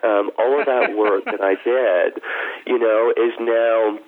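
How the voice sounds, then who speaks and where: A man with a croaky voice, chatting casually on a group call and poking fun at himself. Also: lowish voice, fairly even intonation, slow. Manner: chatty, self-mocking, laughs while talking. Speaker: coaching program participant. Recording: tinny phone line.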